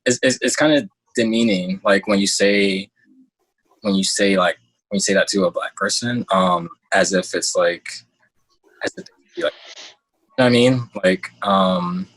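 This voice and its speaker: A young man chats casually as a guest, feeling his way through his thoughts in a halting, hesitant manner. His voice is fairly low and husky, recorded dry and free of echo. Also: fairly bright tone. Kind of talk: casual chat. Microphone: headphones on an internet call.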